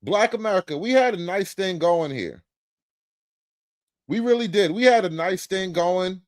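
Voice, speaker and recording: A man's voice: sits high, expressive, a little slow, no room sound, studio quality. A man giving casual reaction commentary on a video, blunt, profane and mocking.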